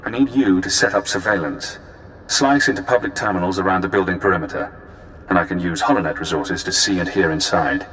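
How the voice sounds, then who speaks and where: An actor playing a composed, analytical spy handler in a video game, speaking in a polite, measured, formal way. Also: male voice, fairly bright tone. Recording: echoey, with faint low rumbling noise.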